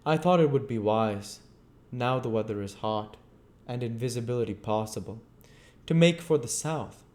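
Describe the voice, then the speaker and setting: A man's voice: medium pitch, normal speed, darkish tone, natural voice. A man voicing an arrogant, bitter, volatile scientist on the run in a storybook, declaiming emphatically and indignantly. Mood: scheming, confiding.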